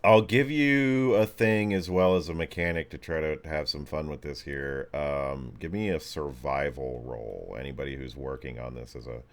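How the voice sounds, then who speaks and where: A man with a deep voice acts as game master for a tabletop game. He improvises his narration in a casual, rambling manner, thinking out loud as he goes. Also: moderate pace, hardly any pauses.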